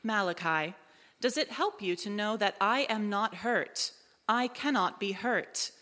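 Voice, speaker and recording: Bright, echoey woman as a calm ship computer. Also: mid-range voice. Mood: gently reassuring. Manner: measured, formal, deliberate. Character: female ship computer, calm, literal, gently caring AI. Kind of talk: live audio drama performance. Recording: echoey live hall, reverberant.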